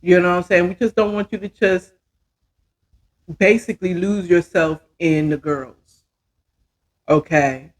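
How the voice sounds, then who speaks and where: A woman's voice: lowish voice, fairly even intonation, unhurried, pauses often. A woman in her fifties telling a story casually as a podcast host, chatty and emphatic, often checking in with the listener. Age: 50s.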